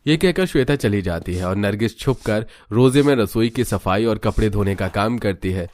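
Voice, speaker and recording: Guy narrating a hindi cartoon story, lowish voice, scripted read. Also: normal speed, a little mellow, dry recording.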